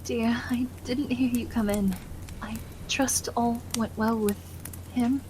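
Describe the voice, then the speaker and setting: A woman playing a loving, caring, genteel wife in an ASMR roleplay, gentle and affectionate with old-fashioned politeness. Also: on the high side, steady tone, slow, background noise. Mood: adoring.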